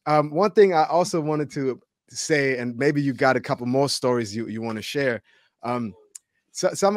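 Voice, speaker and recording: A man hosting casual sports talk, telling stories in an animated, slangy way and repeating words for emphasis. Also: a little high, no room sound, studio quality. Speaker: podcast host and former college football player.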